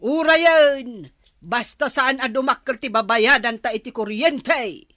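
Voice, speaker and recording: Bright-voiced nagging village housewife. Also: female voice, fairly high pitch, slow, no room sound. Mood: scolding. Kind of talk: Filipino radio drama acting.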